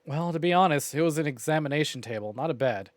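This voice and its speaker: Playful man with a slightly high voice. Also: lively intonation, quick pace, no echo, clean studio sound. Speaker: streamer. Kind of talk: reading a visual novel aloud with casual asides. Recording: game music behind the voice. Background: clear game music.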